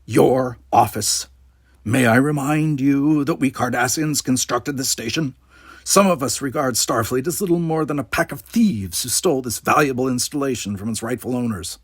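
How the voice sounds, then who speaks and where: A man's voice: mid-range voice, normal speed, husky, no room sound, voice pushed up, lightly acted. A man voicing a proud, hostile male alien military leader in an audiobook, speaking in a heated, formal, haughty way. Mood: resentful.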